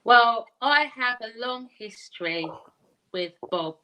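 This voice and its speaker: A woman, a guest on a video call, talking slowly and repeating herself in casual chat. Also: a little high, lively intonation, muffled recording, a little hiss or hum. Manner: slow, drawn-out, repeats herself.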